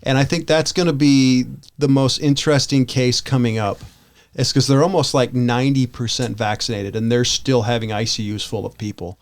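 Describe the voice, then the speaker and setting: A man co-hosting a casual podcast, speaking in a halting but persistent, questioning way. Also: medium pitch, steady tone. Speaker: podcast co-host. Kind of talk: casual panel chat.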